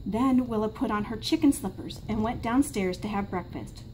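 A woman in her thirties reads a picture book aloud like a teacher to young children. Her voice sits at a medium pitch, recorded in a classroom with faint background noise. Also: moderate pace, mellow, low-quality recording. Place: small classroom, indoors. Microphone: camera a short distance away.

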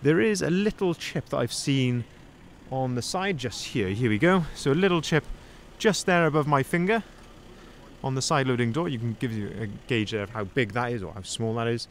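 A man's voice: medium pitch, normal speed, slight background noise. A young man, a van sales manager, doing an upbeat walkaround review, recorded on a handheld phone outdoors at a dealership lot. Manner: upbeat salesman pointing out features and flaws. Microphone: handheld phone camera, filming first-person.

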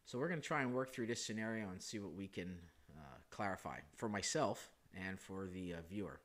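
A man, hoarse from a chest cold, hosting a screen-recorded flight simulator how-to, talking it through casually with self-corrections and filler sounds. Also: low-pitched, fairly quick. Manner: relaxed, thinking aloud with frequent uh and self-corrections. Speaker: flight sim hobbyist host.

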